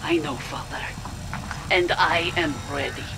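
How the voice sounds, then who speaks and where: An actress playing a proud, fanatical military villainess and devoted daughter in a game audio log, speaking with deliberate, theatrical intensity. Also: female voice, lowish voice, steady tone, creaky, background noise. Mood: fanatical, resolute. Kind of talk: dramatic video game audio log acting.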